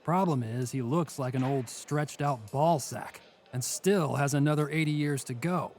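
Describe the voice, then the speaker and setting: A man playing a world-weary, menacing prison inmate in an audio drama sketch, speaking in a deliberate, scornful, taunting way. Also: medium pitch, darkish tone, soft background music, natural voice. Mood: grim, menacing. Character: mocking, world-weary male prison bunk mate, menacing.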